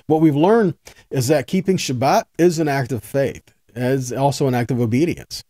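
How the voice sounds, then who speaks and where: A man's voice: medium pitch, moderate pace, mellow, dry recording, studio quality. A man giving an informal sermon as a Bible teacher, earnest and folksy, repeating phrases for emphasis and checking in with his listeners. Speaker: Bible teacher, host of a weekly teaching video.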